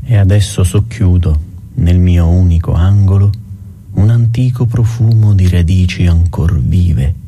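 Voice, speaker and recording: A man delivering a slow poetic monologue, hushed and reflective, in a very husky, breathy voice. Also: deep voice.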